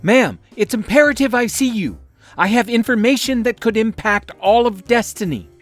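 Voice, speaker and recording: A woman's voice: on the high side, animated, moderate pace, soft background music. A woman voicing a determined male space hero who speaks urgently and insistently.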